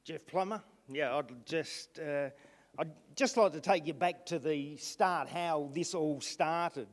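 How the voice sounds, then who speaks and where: Man, slightly high voice, speaking haltingly at a council meeting, long hall reverb. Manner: halting, recounting events with restarts. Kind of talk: public address to council. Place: council chamber.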